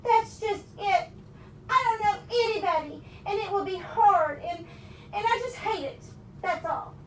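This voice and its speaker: Woman reading as a whiny, nervous, reluctant character, high-pitched. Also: measured pace. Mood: anxious, pleading. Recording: roomy, distant mic with background noise.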